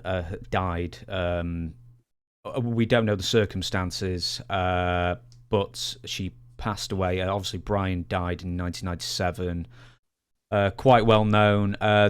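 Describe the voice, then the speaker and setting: Man, fairly low pitch, clear resonant voice, measured, matter-of-fact, conversational podcast interviewer.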